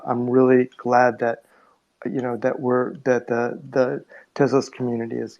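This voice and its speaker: A man speaking informally in a live audio chat, earnest and halting, often repeating his words. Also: mid-range voice, vocal fry, darkish tone, dull audio. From New York City, United States. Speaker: artist and audience speaker.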